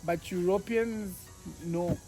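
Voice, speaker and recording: A man's voice: high voice, fairly even intonation, slow pace, warm tone, faint music behind. A man from Tanzania whose first language is Swahili, a guest on a vlog, talking outdoors by a lake in a thoughtful, hesitant way. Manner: thoughtful, hesitant, with fillers and restarts. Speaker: guest, travel vlogger. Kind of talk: casual chat.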